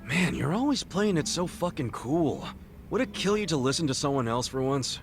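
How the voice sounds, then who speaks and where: An actor playing a brash, warmhearted, loyal street tough in a video game cutscene, blunt and informal. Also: male voice, a little high, sing-song, normal speed, faint music behind. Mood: teasing, exasperated. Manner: informal, blunt, swaggering, foul-mouthed.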